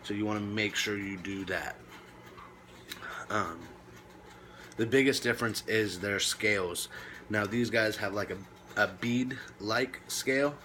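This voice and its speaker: A man presenting reptile care tips in a casual, laid-back way with short emphatic phrases, now and then coughing or clearing his throat. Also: lowish voice, unhurried, pauses often, pushed and bright, slight background noise. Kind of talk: casual informal talk to camera.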